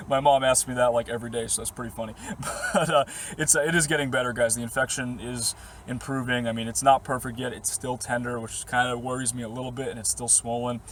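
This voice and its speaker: Young man, slightly hoarse, rambling casual chat, middle pitch, faint background noise. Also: steady tone, fairly quick, a bit bright. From Boston area. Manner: rambling, easygoing, with short laughs and many fillers. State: slightly hoarse, losing his voice. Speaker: vlogger answering viewer questions. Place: inside his parked camper van.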